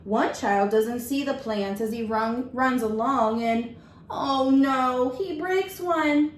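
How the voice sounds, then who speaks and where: A woman's voice: sits high, takes it slowly. A woman teacher reading a children's picture book aloud, speaking simply and instructively to young listeners. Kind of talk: children's story read-aloud with questions to listeners. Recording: echoey room with faint background noise.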